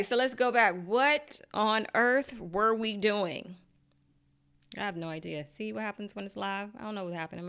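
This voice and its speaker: Chatty, lower-voiced woman coaching a live workshop. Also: bright, forceful tone. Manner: chatty and encouraging, laughs at her own slips. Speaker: LinkedIn marketing coach. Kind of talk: live online workshop teaching. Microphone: desk mic.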